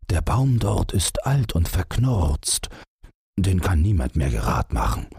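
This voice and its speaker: A man's voice: sits low, big pitch swings, unhurried, vocal fry, darkish tone, slightly rough, dry recording, clean studio sound, heavily acted. A man voicing an old peasant farmer who is weary and stern. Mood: patient.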